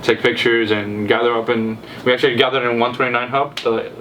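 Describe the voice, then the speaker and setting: A man's voice: mid-range voice, fairly even intonation, brisk, a bit bright. A young man from Cuba tells a story in loose, repetitive chat, slipping into the voices of people he quotes. The mic sits a little way off, and a faint hiss runs underneath. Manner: rambling, repeats words, acts out quotes. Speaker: car vlog host. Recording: distant mic with faint noise.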